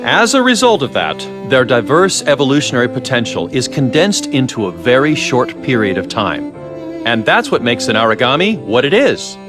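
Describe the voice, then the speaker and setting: An actor playing a clever, genial scientist in a video game, chatting and lecturing in an unruffled way. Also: male voice, medium pitch, big pitch swings, normal speed, music in the background, lightly acted. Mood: intrigued, explaining. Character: clever, genial, sly male scientist doctor. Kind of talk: English video game voice acting.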